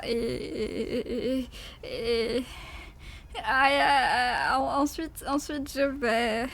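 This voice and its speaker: A French woman with a French accent chatting casually on a livestream, halting and repeating herself as she playfully protests to viewers. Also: high voice. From France. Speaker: livestreamer, VTuber.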